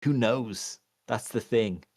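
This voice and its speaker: A man co-hosting a podcast, chatting casually in a halting, rambling way with many fillers and restarts. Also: mid-range voice, a little mellow, husky, dry recording, clean studio sound. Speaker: podcast co-host. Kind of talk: casual chat.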